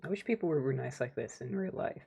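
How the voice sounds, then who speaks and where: A woman's voice: sits low, lively intonation, fast talker, gravelly, a crowd in the background. A woman livestreaming a game, chatting casually with viewers in an informal, wry way. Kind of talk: casual gameplay chat with viewers.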